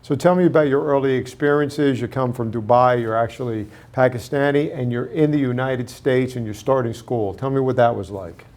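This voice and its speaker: Male interview host; steady, prompting questioner. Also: medium pitch, normal speed, hardly any pauses. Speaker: host, managing partner of a law firm. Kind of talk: interview hosting.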